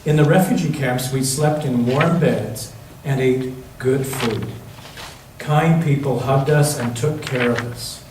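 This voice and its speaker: A man reading aloud the part of a gentle grandmother who recalls a frightening wartime childhood in a storybook. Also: mid-range voice, slow pace, lightly acted. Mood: solemn, remembering. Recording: very echoey church room, distant mic, faint noise.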